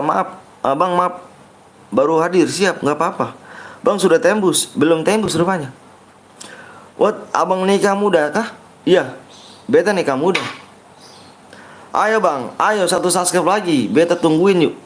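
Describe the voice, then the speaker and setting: Chatty young male game streamer, higher voice. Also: unhurried, long pauses. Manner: reads viewer comments aloud and banters, pushing likes and subscribes; playful joking persona. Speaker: game livestreamer. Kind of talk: casual live-stream chat.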